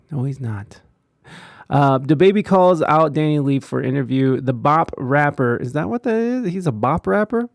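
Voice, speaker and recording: Sarcastic streamer, dry close mic. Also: male voice, on the high side, expressive, measured pace, creaky, no echo. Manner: sarcastic, joking asides, repeats phrases. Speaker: streamer reacting to clips. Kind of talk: live stream commentary.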